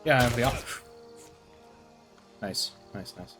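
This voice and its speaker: A man streaming a shooter with his friends, talking loosely and circling back on his own sentences. He laughs easily and speaks through a headset mic at a middling pitch. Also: a little slow, music in the background. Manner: rambling, self-correcting, laughs often. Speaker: streamer hosting a co-op game session. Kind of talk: casual gaming chat with friends.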